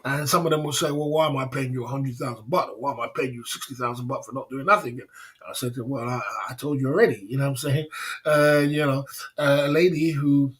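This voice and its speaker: A man's voice: mid-range voice, fairly even intonation, fast talker, fairly bright tone. A middle-aged man from England, hosting a casual livestream and talking in a boastful, repetitive way. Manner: repetitive, boastful, acts out dialogues. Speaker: livestream host.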